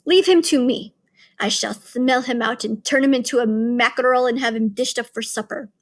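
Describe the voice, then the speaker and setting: A woman playing a bossy, shrill witch queen. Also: moderate pace, lightly acted. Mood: imperious. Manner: imperious, barking orders. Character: commanding evil female witch leader.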